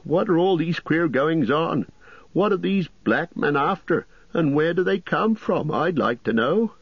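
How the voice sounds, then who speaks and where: Man acting a flustered innkeeper, raised swooping pitch, folksy chatter, storybook character, slightly muffled audio. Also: animated, measured pace, slightly rough, no room sound, heavily acted. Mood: alarmed. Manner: rustic, chatty, folksy turns of phrase. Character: fussy, flustered middle-aged male country innkeeper.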